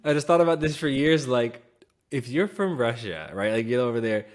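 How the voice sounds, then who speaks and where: A man's voice: medium pitch, expressive, brisk. A man co-hosting a podcast with casual, joking banter, slangy and profane, riffing and teasing. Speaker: podcast co-host.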